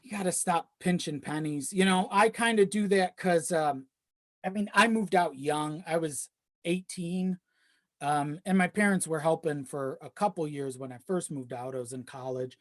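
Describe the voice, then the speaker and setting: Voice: medium pitch, moderate pace. A young adult talking casually and candidly in a rambling way, with casual swearing. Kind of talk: casual chat. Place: home office in a small self-built shed. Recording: slightly echoey unpadded shed.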